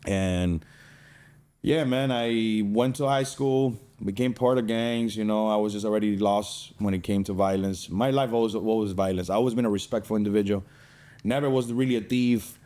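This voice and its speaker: A young man from Cuba with a fairly low, very mellow voice. He talks as a podcast guest, casually telling his own story in streetwise slang with plenty of swearing. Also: fairly even intonation, moderate pace.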